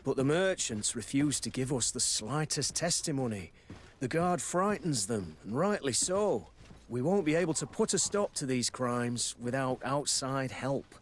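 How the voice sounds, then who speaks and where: A man plays a guarded, serious man of a fantasy game town. His voice sits at a middle pitch, with a formal and wary manner. Faint ambient noise runs behind the dialogue. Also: expressive, measured pace, lightly acted. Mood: formal, grave. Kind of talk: game cutscene acting.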